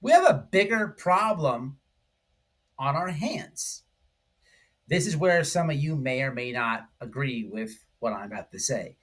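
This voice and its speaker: A man's voice: medium pitch, animated, a little slow, a bit bright. A man hosting informal true crime commentary, speaking emphatically in slangy language and often checking in with the listener. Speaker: YouTube commentary host.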